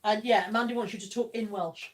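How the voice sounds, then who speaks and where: A woman's voice: medium pitch, steady tone, fairly quick, heavy background noise. A woman hosting a live stream in a casual chatty way, reading out viewer comments, laughing often and talking bluntly. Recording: voice somewhat far from the mic.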